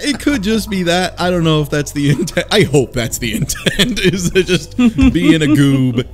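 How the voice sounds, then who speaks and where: A man's voice: high-pitched, animated, normal speed, a little hiss or hum. A man in his twenties, a podcast panelist in casual, joking discussion. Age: 20s.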